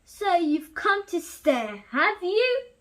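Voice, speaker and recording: A woman's voice: very high voice, sing-song, takes it slowly, a bit bright, full character voice. A woman voicing an angry, menacing male beast from a storybook in a confrontational way. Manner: roaring, confrontational.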